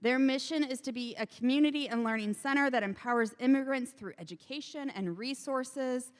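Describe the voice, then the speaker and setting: A woman's voice: sits high, a little fast. A woman introducing the next speaker at a church assembly, in a plain way, tripping over an unfamiliar name. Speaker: assembly presenter. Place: large church hall. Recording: long reverb of a large hall.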